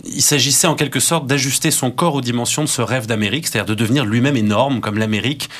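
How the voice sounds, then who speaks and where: A man's voice: mid-range voice, quick pace, fairly bright tone. Man, radio guest, analytical, hesitant, thoughtful. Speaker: guest critic. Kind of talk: radio interview, analytical commentary.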